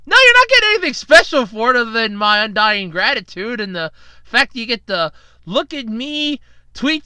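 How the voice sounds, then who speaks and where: A man's voice: extremely high pitch, sharp tone, clear, resonant tone, no echo. A young man hosting a casual solo podcast, rambling and jokey while fighting a cold and tired from night shifts. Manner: rambling, chatty, self-deprecating, jokey. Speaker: podcast host.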